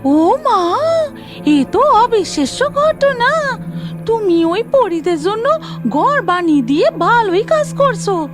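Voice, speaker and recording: Woman doing a high-pitched homely village wife. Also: very animated, slow, music in the background, exaggerated voice. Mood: amazed, approving. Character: supportive village wife of a woodcutter. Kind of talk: fairy-tale cartoon dialogue.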